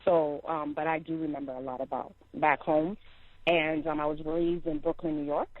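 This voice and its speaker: A woman's voice: sits low, little pitch movement, no echo. A young adult woman from Liberia, calling in to a talk show and speaking with passion, with many fillers and restarts. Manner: impassioned, halting with many fillers. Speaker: caller. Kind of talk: phone-in call to a talk show. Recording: tinny dry phone line.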